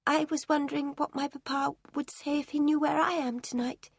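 Woman voicing a quiet, weary young girl. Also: sits high, fairly even intonation, moderate pace, gravelly, dry recording, clean studio sound, pitched above the performer's own voice.

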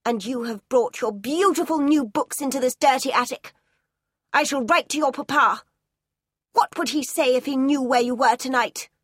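A woman reading an audiobook, voicing a stern, furious headmistress with a breathy, dry voice. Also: sits high, moderate pace, no echo. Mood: stern scolding.

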